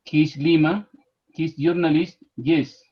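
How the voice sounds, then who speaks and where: A man in his fifties with a Peruvian Spanish accent, speaking loud, halting beginner English. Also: on the high side, fairly even intonation, breathy edge, muffled recording. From Peru. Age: 50s. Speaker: professor taking a class.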